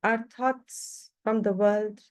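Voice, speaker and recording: A woman leading a guided meditation as a teacher, speaking calmly in short phrases with long pauses between them. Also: medium pitch.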